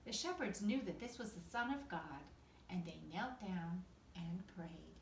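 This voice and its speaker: A woman reads a picture book to young children in a teacherly, expressive way. Her pitch moves widely as she tells it. The sound is echoey and picked up from a distance, with faint noise. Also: measured pace. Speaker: pre-kindergarten teacher reading aloud. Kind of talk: expressive children's storybook read-aloud.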